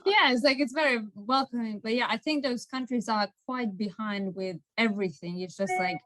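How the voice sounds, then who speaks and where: A woman's voice: a little high, normal speed, a bit bright, no echo, poor audio. Woman, 20s, Lithuanian accent, non-native English, hesitant, dry close sound. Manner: thoughtful, hesitant. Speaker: guest. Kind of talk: interview answers.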